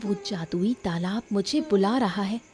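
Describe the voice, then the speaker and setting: A woman's voice: medium pitch, unhurried, warm tone, soft background music, close to an everyday voice. Woman acting a distressed, pleading young daughter. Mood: troubled, yearning. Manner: plaintive, insistent. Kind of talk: animated moral story dramatization.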